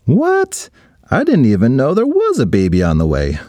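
Man plays a jealous young boy in a kids' storybook: high, bouncy and pleading. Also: moderate pace, dry recording. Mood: excited. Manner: excited, pleading, whiny.

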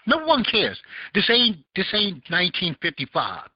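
A man's voice: high-pitched, huge pitch swings, normal speed, slightly rough, no echo. A man with a Southern American accent hosting a casual call-in podcast, rambling and searching for words with many fillers. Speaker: podcast host. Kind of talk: casual call-in talk show chat. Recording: dull lo-fi audio.

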